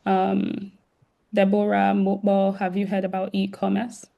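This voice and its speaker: A woman's voice: mid-range voice, monotone, measured pace, creaky, lo-fi sound. A woman with a sore throat and an occasional cough hosts a virtual career event on a video call. She talks casually to students, with many "um" fillers and restarts.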